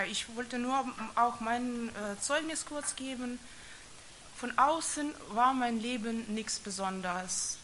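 Halting woman giving a faith testimony. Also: medium pitch, fairly bright tone, a little hiss or hum. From Soviet Union. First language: not German. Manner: halting, hesitant, with frequent restarts. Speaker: church member giving testimony. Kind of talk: personal faith testimony with scripture reading.

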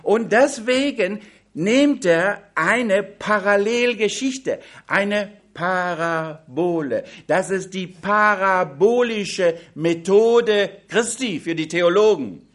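A man preaching a sermon on a Bible passage in a language that is not his first, with slow, emphatic delivery that drops into quiet asides. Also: sits high, expressive. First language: not German.

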